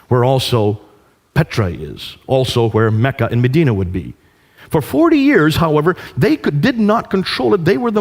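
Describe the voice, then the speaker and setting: A man giving a public lecture off the cuff in an emphatic manner, repeating phrases for stress and posing rhetorical questions. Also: medium pitch. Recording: hall with long reverb.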